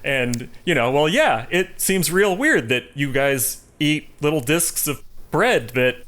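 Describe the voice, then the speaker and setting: A man's voice: a little high, animated, takes it slowly, fairly bright tone. A man co-hosting a podcast, chatting casually about films in a wry, skeptical way. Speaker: podcast co-host. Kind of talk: casual film discussion.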